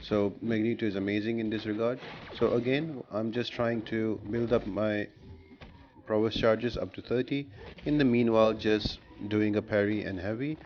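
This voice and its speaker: A man's voice: lowish voice, steady tone, normal speed, lo-fi sound. A man giving a casual, step-by-step walkthrough commentary as the host of a gaming guide. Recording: clearly noisy voice-over with background ambience.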